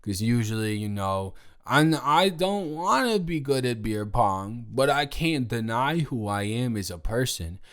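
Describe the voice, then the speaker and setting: A man's voice: medium pitch, big pitch swings, unhurried, few pauses, fairly bright tone. A young man playing a playful drunk college roommate in an intimate audio roleplay, rambling, slurring, joking and repeating himself. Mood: chatty, rambling. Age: young adult.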